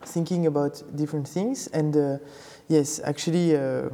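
A man from Belgium answers interview questions haltingly, pausing often and circling back on his words. His voice is very dark and mellow, with a long reverb from the room. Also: a little high, fairly even intonation. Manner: hesitant, many fillers, halting phrasing. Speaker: guest, brand founder. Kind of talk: casual interview. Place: fashion atelier.

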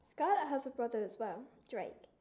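A female performer playing a timid, kindly bullied orphan girl in a wartime drama, hesitant and gentle. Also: a little high, sing-song, moderate pace.

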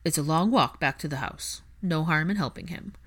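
A woman's voice: sits low, normal speed, a bit bright, husky. A woman voicing a composed young aristocratic man in an audiobook story, with a dry, understated, guarded manner. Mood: dry teasing.

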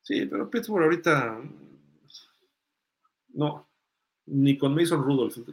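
A man's voice: fairly high pitch, expressive, takes it slowly. A man hosting a live sports fan podcast, chatting casually and thinking aloud as he gives his takes and answers listener comments.

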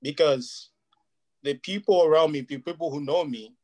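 A man's voice: fairly high pitch, moderate pace, a little mellow. A man whose first language is Portuguese, talking earnestly and at length as an interview guest, often checking "you know what I mean". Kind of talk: casual interview chat.

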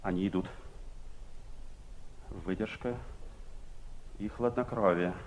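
An actor playing an anxious, defiant middle-aged father and secret conspirator in a 1960s Soviet radio drama, speaking in a theatrical, deliberate manner. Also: on the low side, a little hiss or hum. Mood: fearful, tense. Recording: 1960s radio play tape.

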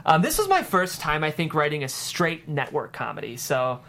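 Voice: medium pitch, wildly swooping pitch, normal speed, a bit bright. A screenwriter guest chatting casually on a panel, hedging and peppering sentences with "like".

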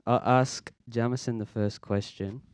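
A man, hosting a casual faith podcast in an earnest, halting way with many restarts. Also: medium pitch, steady tone, darkish tone, smooth, no echo, studio quality. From Philippines, raised in Australia. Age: 20s. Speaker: podcast host. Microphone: podcast microphone.

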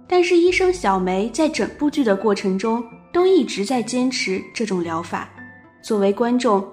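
A woman's voice: fairly high pitch, darkish tone. A woman reading scripted narration for an audio drama. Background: music.

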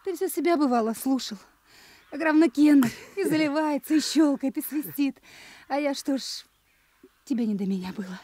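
An actress playing a tender, stubborn young sweetheart in a radio play, speaking in a plain, colloquial way. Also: female voice, high voice, normal speed, mellow, no room sound, lightly acted. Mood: gently reproachful. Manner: plain colloquial speech, affectionate and reproachful.

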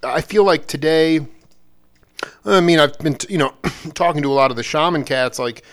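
A man's voice: a little high, moderate pace, a bit bright. A man hosting an interview, asking long, loose questions full of slang and restarts. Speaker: interviewer host.

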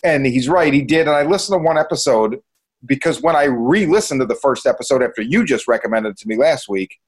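A man's voice: medium pitch, lively intonation, quick pace, husky. Casual male podcast host.

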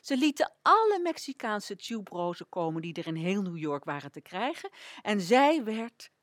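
Expressive woman narrator, very animated. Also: medium pitch, normal speed, no echo, studio quality. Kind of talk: scripted radio play narration.

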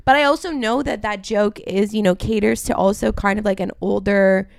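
A woman's voice: medium pitch, steady tone, creaky, no room sound, clean studio sound. A young woman comedian co-hosting a podcast, chatting in a loose, joke-filled way with lots of likes. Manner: chatty, full of likes and quick jokes. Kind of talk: casual comedic chat.

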